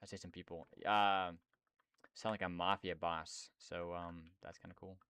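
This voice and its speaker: A man's voice: deep voice, level tone, unhurried, fairly bright tone, clear, resonant tone. A young man streaming and chatting casually about game development, slangy and rambling with lots of fillers. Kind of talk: casual chat.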